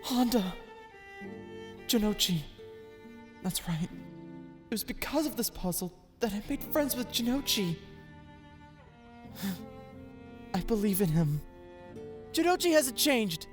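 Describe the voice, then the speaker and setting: A woman playing an earnest, loyal high school boy in an emotional, pleading way for an audio drama. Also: big pitch swings, slow pace, pauses often, faint music behind. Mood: quiet, trusting.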